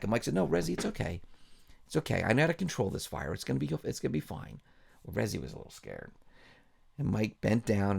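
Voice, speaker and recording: A man's voice: fairly low pitch, vocal fry, a little mellow. A man, a pastor, telling an informal bedtime story in a folksy, unscripted way, often repeating phrases.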